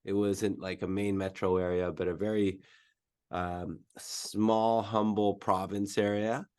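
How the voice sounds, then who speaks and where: A man's voice: on the low side, little pitch movement, measured pace, darkish tone, clear, resonant tone. A man from the Philippines who moved to California young, chatting informally and a little hesitantly as a podcast guest. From Philippines, moved to California as a child. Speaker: podcast guest, mobile home renovation business owner. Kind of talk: casual chat.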